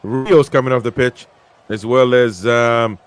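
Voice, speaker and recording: A man's voice: mid-range voice, fairly even intonation, measured pace, clean tone, no room sound. Host: Peru-born man, casual live match chat, reads comments from viewers.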